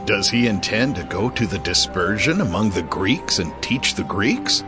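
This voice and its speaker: A man's voice: lowish voice, expressive, moderate pace, lightly acted. An actor playing a skeptical man from an ancient religious crowd in a dramatized audio Bible. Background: music.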